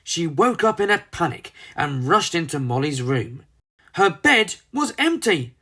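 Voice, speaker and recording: Brief: children's storybook narrator, medium pitch with wide swings, dramatic reading. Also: big pitch swings, unhurried, sharp tone.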